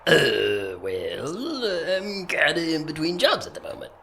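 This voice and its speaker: A man's voice: on the high side, wildly swooping pitch, a little slow, vocal fry, bright, forceful tone, exaggerated voice. A man playing an easygoing, folksy drifter in an audio drama, rambling along. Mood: sheepish. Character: folksy, easygoing drifter and diner odd-jobber.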